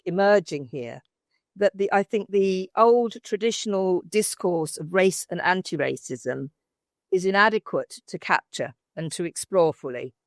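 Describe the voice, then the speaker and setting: A woman's voice: fairly low pitch, no echo, clean studio sound. An older woman with a British accent, arguing her case as a debate panellist in an emphatic, discursive way. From Britain. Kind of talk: informal debate argument.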